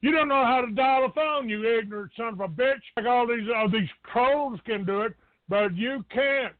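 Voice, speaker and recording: A man's voice: very high-pitched, moderate pace, dry recording. A man hosting a live call-in show in an abrasive, combative, foul-mouthed way. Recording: tinny phone-grade dry audio.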